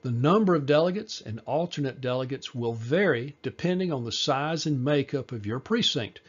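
A man reading a scripted informational voice-over, measured and explanatory, slowing down for key details. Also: mid-range voice, expressive, slightly rough, highs cut off. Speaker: party presenter.

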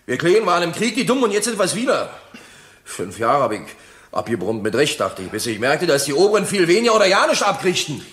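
Male for bitter ex-soldier witness in radio play: highish voice, animated, halting and defiant. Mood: indignant, defiant. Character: bitter, rattled former soldier on the witness stand. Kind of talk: German radio crime drama acting.

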